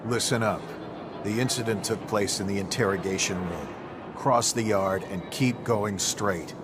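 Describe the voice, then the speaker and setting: An actor playing a curt, impatient prison guard officer in a game, brusque and commanding. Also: male voice, fairly low pitch, measured pace, very noisy, close to an everyday voice. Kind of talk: game dialogue. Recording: loud music behind the voice.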